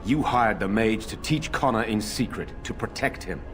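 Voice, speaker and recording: A man voicing a formal, deep-voiced noble lord. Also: a bit bright, close to an everyday voice. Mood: measured. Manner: measured, formal, deliberate. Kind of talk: video game dialogue. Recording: clear game music behind.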